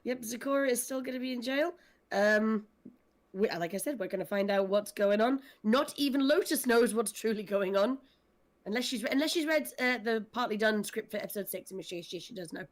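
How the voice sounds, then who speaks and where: A woman's voice: a little high, brisk. A woman in a casual panel chat, talking as a writer and director with dry, wry humor. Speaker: audio drama writer and director.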